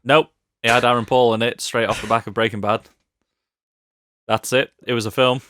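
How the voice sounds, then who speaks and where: A man with a mid-pitched voice chats as a podcast co-host. His delivery is offhand and wry, in casual conversation. The recording is dry, with no real room sound. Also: steady tone, normal speed, a bit bright, no room sound. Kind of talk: casual film discussion podcast.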